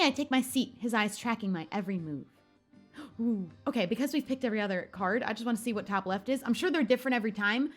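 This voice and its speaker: A woman's voice: fairly high pitch, a bit bright. A woman hosting a gaming video, playfully reacting and reading story narration aloud with drama. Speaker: host of a gaming channel. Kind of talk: let's play commentary with dramatic read-aloud narration.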